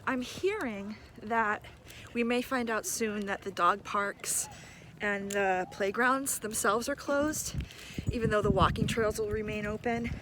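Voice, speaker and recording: A woman's voice: mid-range voice, measured pace. A middle-aged woman speaking as an encouraging teacher in a casual video message to students, recorded outdoors in a park. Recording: outdoor park ambience noise.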